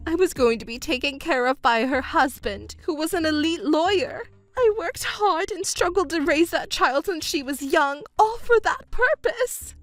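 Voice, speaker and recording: A woman's voice: sits high, normal speed, few pauses, a bit bright, no echo, soft background music. A woman voicing a selfish, self-pitying middle-aged mother in a scripted family drama, whiny and indignant in a melodramatic way. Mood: selfish panic.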